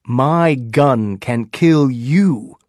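A man's voice: a little high, animated, drawn-out words, no room sound, clean studio sound, full character voice. A man voicing a bold, commanding colonial captain who speaks firmly and with measure. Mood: threatening.

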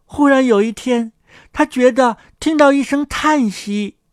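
A woman's voice: sits high, measured pace, no room sound, studio quality. A woman giving a scripted narration of a fairy tale, as a storyteller.

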